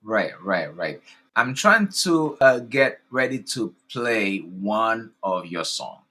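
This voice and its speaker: A man from Haiti with a Haitian accent, hosting a casual interview in an eager, halting way, with many restarts. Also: fairly low pitch, big pitch swings, sharp tone. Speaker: host.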